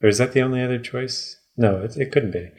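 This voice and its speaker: A man's voice: mid-range voice, quick pace, a little mellow. A man co-hosting a podcast in casual chat, musing aloud and joking as he plays along. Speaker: podcast co-host playing along with the story.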